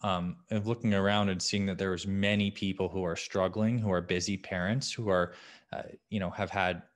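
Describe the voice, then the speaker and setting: Very deep-voiced male guest. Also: steady tone, normal speed. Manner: agreeable, frequent short affirmations. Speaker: guest, health expert. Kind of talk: interview answers. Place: home office. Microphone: video call.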